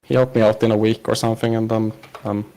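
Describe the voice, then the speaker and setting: Gravelly Swedish-accented man with a cold. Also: fairly low pitch, flat delivery, dark tone, rough recording. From Sweden. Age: 30s. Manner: halting, many filler pauses and restarts. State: has a cold. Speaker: podcast guest. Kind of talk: casual interview chat.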